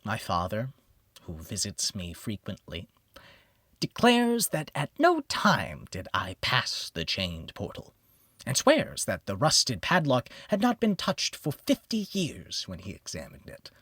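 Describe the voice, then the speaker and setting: A man reads gothic horror in a theatrical, solemn manner. His delivery is slow and deliberate, with very animated pitch. The recording is close and dry. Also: husky, no echo. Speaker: audiobook narrator. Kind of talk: dramatic scripted narration of a gothic horror story.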